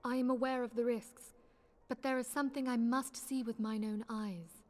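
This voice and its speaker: A woman's voice: a little high, steady tone, moderate pace, darkish tone, soft background music, lightly acted. An actress playing an earnest, resolute noblewoman who leads a fantasy order in a video game, with stately old-fashioned speech. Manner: formal, stately archaic diction. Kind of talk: dramatic video game voice acting.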